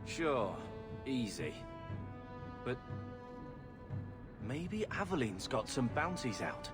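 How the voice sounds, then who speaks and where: An actor playing an impatient younger brother in a video game, speaking in a blunt, insistent way. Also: male voice, medium pitch, very animated, slow. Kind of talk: video game cutscene dialogue. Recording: music behind the voice. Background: background music.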